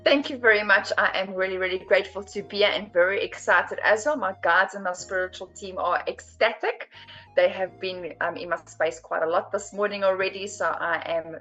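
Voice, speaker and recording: A woman in her forties with a South African accent, a podcast guest telling her personal story earnestly, often pausing to search for words. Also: mid-range voice, steady tone, pushed and bright, lo-fi sound, soft background music.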